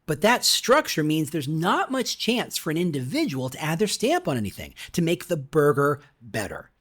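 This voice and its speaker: A man's voice: fairly high pitch, very animated, normal speed, a bit bright. A man reading his own nonfiction book in a conversational way, with rhetorical questions and emphatic asides. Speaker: author reading own audiobook. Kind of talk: conversational scripted nonfiction narration.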